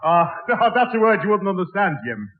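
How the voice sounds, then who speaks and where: A man's voice: high-pitched, big pitch swings, a little fast. A man playing a matey working-class Liverpudlian in a 1960s radio sitcom, reeling off jokey patter and mangling long words. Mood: knowing. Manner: breezy, jokey, malaprop-prone patter. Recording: tinny old radio broadcast recording.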